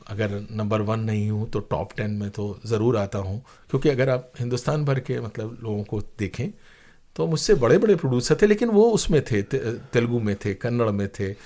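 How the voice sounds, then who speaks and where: An older man recounting things as an interview guest, with hesitant, deliberate phrasing and emphatic points. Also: on the low side, dark tone. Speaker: interview guest, professor and former radio drama producer. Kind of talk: conversational interview, reflective recounting.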